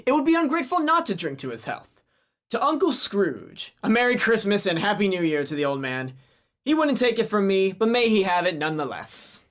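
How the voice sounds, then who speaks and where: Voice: medium pitch, sing-song, fairly quick, fairly bright tone. A performer playing a cheerful young man who leads a party game, in a student radio drama. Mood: merry. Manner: merry, playful.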